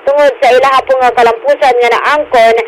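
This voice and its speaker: A woman with a Filipino accent giving a radio field report, halting with frequent hesitations and repeats. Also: sits high, fairly even intonation. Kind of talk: news report, partly improvised. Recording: noisy remote radio report feed.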